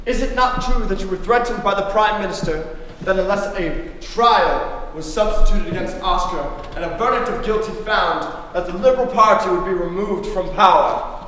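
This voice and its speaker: A man's voice: sits high, normal speed, bright, forceful tone, slight background noise. A young man playing an indignant Victorian politician. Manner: indignant, challenging. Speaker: stage actor. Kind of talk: stage play performance. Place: theater stage. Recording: echoey theater from far off.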